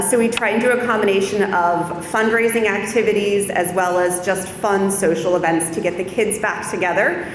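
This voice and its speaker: A woman giving an informal informational presentation to an audience as a volunteer parent group leader. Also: mid-range voice. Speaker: parent-teacher organization chair. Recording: very echoey hall, background noise.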